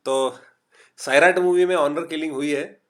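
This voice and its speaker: Chatty Marathi-accented teacher. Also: male voice, fairly high pitch, fairly even intonation. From Maharashtra. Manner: chatty, reads out students' typed replies; urges quick answers, mixes English. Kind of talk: online lecture.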